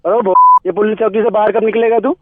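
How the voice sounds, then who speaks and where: A boastful Delhi tough guy acted out by a man blusters in a high male voice over a tinny phone line. He swaggers and threatens in street slang, cutting in as he goes, for comic effect. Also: steady tone, quick pace, no room sound. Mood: threatening. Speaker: radio prank caller. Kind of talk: comic prank phone call.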